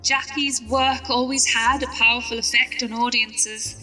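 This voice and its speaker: Actress as a high, bright, stately starlet. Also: female voice, level tone, a little slow. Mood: grand, wistful. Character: grand, reverent actress honoring late director. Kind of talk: formal stage introduction, vintage radio drama. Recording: distant voice, music behind.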